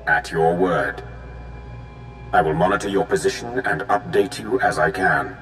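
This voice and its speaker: A man playing a solemn, loyal Sith lord and tactical advisor, speaking formally and gravely in a video game. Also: deep voice, unhurried, a bit bright. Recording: music behind the voice.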